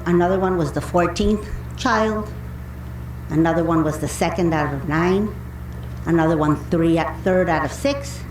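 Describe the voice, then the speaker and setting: Slow-speaking woman in an echoey room. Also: on the low side. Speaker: public commenter at a county board meeting. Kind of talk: public speech. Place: indoor public meeting room. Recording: echoey meeting room with faint hum.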